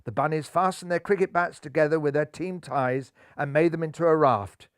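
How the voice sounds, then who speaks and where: An older man in his sixties narrates a children's book with lively, theatrical delivery. His voice sits fairly high for a man. Recorded close on a lapel mic in a living room, with a dry sound. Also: normal speed, clean tone, dry recording. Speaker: storybook narrator. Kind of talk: expressive children's story reading. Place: living room, seated in armchair.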